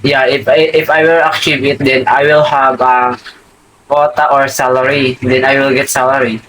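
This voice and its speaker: A man's voice: mid-range voice, level tone, moderate pace, a bit bright, slight background noise, rough recording. A young man from the Philippines with a Filipino accent, chatting casually on a video call in hesitant English with many restarts. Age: young adult. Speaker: livestream host practising English.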